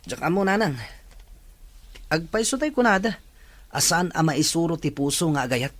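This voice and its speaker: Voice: mid-range voice, lively intonation, moderate pace, no room sound, close to an everyday voice. A performer playing a remorseful young man torn over love, with an Ilocano accent in a radio drama. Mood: regretful, defensive.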